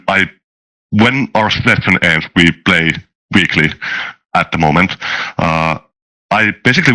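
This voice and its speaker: Deep, bright-voiced man answering hesitantly. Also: low-quality recording. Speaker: guest, tabletop game master. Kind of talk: casual interview answers.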